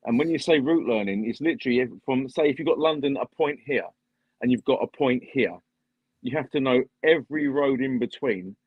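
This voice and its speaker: A man's voice: medium pitch, a little fast, husky, dry recording. A man appearing as a guest on a live stream, chatting casually and explaining things at length with easy humor. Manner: chatty, explains at length, laughs at his own jokes. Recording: dull, dry home stream audio.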